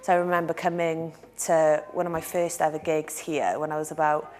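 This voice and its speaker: A woman's voice: deep voice, fairly even intonation, brisk, a little mellow. Woman from Cardiff in an echoey room. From Cardiff, Wales. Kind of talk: interview answer.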